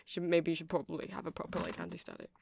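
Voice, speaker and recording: A woman's voice: on the low side, vocal fry, noisy background. A woman giving a rambling, chatty ASMR demonstration, explaining things step by step. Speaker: ASMR creator.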